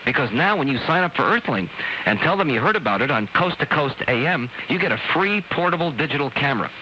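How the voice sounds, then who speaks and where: A man reading sponsor spots on the radio in a sales-pitch style. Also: big pitch swings, fast, pushed and bright, rough recording. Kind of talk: live-read radio advertising. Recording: hissy shortwave radio cassette.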